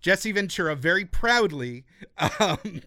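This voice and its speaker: A man's voice: high-pitched, big pitch swings, slow pace, pushed and bright, no room sound, studio quality. A man co-hosting a film podcast in casual chat, telling stories animatedly and swearing freely. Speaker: podcast co-host.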